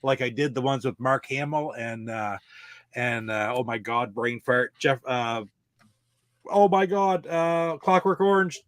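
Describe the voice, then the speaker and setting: A man's voice: mid-range voice, a little slow, no room sound, clean studio sound. A middle-aged man in a casual podcast panel chat about games and movies, talking in a rambling way.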